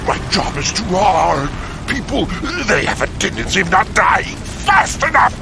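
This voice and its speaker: An actor playing a ravenous soul-eating reaper spirit in a game, with a strained creaky voice, pleading and demanding. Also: male voice, sits high, very animated, unhurried, heavy background noise, full character voice. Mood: weary, demanding. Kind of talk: dramatic video game cutscene acting. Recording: voice buried under loud music.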